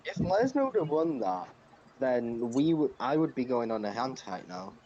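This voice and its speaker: A man's voice: medium pitch, sing-song, normal speed, warm tone, highs cut off, a little hiss or hum. A man chatting casually as a podcast panelist. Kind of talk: casual chat.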